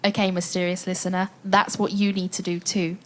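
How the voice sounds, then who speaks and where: A woman playing an adventurous storyteller in an audio play for children, addressing listeners directly with dramatic pauses. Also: lowish voice, level tone, slight background noise, natural voice. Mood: playful, guiding.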